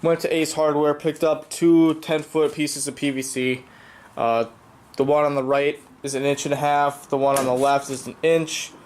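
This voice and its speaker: A man's voice: a little high, level tone, moderate pace, darkish tone. A young man with a cold, sounding congested, casually explaining his boat project in a rambling vlog full of fillers. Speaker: vlogger.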